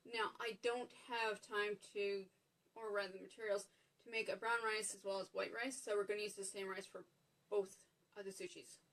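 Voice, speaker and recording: A woman's voice: mid-range voice, little pitch movement, moderate pace, fairly bright tone, low-quality recording. A woman with a Canadian accent hosting a live cooking demonstration from her home kitchen, chatty and apologetic, greeting viewers by name as she talks them through each step. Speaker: cooking show host.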